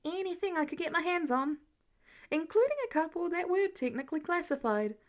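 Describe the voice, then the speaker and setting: A woman playing an eager teenage boy science prodigy in an audiobook, talking in an excitable, earnest way. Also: extremely high pitch, a little fast, voice pushed up. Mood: eager admiration.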